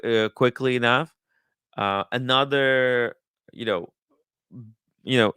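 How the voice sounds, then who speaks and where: A man hosting a livestream in casual talk, haltingly thinking aloud as he goes. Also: mid-range voice, fairly bright tone, smooth, no room sound, studio quality. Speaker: livestream host. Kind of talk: casual livestream chat, reading viewer comments and riffing.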